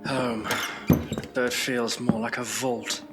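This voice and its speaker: Man acting a slow, earnest Victorian gentleman. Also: mid-range voice, flat delivery, music in the background, natural voice. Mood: weary, earnest. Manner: earnest, formal, probing. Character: weary, earnest Victorian gentleman seeking the truth. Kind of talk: audio drama acting.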